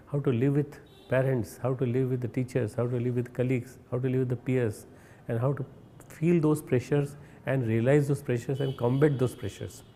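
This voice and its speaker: A man with an Indian accent giving a recorded spiritual message, earnest and instructive, often pausing and restarting words. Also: medium pitch, dark tone, a little hiss or hum. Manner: hesitant, repeats and restarts words. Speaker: spiritual leader.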